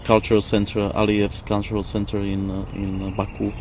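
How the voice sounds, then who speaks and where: A man talks reflectively in English. He feels for his words and repeats them as he goes. Birds and traffic are clearly audible around him. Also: lowish voice, completely flat delivery. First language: not English. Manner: halting, searching for words with repetitions. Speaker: interview guest, former architect. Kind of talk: casual reflective discussion. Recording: noisy outdoor ambience with birds, traffic.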